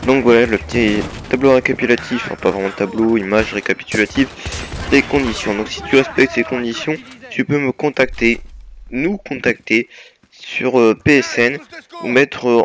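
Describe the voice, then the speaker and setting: A man's voice: mid-range voice, fairly even intonation, normal speed, background noise. A young man with a slight cold, giving a hesitant, filler-heavy voice-over that presents his gaming team. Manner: hesitant, many fillers and restarts. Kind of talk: casual voice-over over gameplay.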